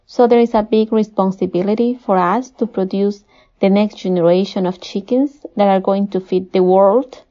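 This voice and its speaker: A woman from Peru with a Spanish accent, a poultry nutritionist giving measured, formal, prepared answers as an interview guest. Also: mid-range voice, steady tone, dark tone. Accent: Peruvian Spanish. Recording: dull, muffled lo-fi audio.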